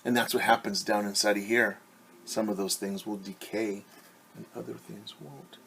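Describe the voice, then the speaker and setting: A man's voice: lowish voice, steady tone, normal speed, gravelly, sharp tone, slight background noise. A middle-aged man, a teacher, reading a picture book aloud to children and explaining things simply. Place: small room at home.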